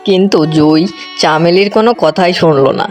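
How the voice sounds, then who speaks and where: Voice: medium pitch, brisk, faint music behind. A narrator telling a children's fairy tale in scripted storybook style.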